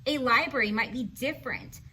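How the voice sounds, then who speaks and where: Bright high-voiced woman reading to children. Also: fairly quick, a little hiss or hum. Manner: animated, teacherly, addresses young listeners directly with questions. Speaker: picture-book reader. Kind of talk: children's read-aloud with explanatory asides.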